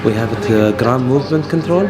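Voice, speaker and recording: A man giving an explanatory facility tour as head of air traffic control, in a halting delivery. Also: mid-range voice, over a noisy crowd. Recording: echoey, distant mic, faint background noise.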